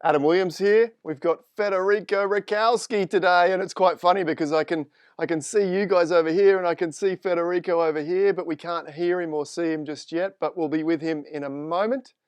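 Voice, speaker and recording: A man's voice: high-pitched, steady tone, a little fast, few pauses, clean tone, no room sound. A man with an Australian accent hosting a live stream interview, chatting informally and upbeat. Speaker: host. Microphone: desk microphone.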